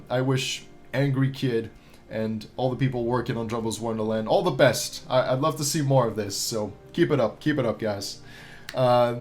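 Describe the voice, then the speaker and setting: A man hosting a ranking video with casual, unscripted review commentary, repeating himself for emphasis in a hesitant way. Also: mid-range voice. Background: background music.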